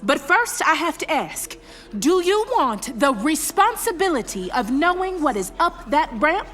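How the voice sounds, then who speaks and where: A woman playing a sharp-tongued, defiant small-town scientist with a folksy, commanding manner in an audio drama. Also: high voice, big pitch swings, a little slow, a little hiss or hum, heavily acted.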